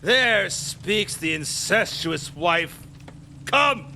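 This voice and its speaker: High, bright, fearful imperious king. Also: animated, very slow, gravelly, slight background noise, heavily acted. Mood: panicked, commanding. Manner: declamatory, commanding. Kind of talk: theatrical classical audio drama.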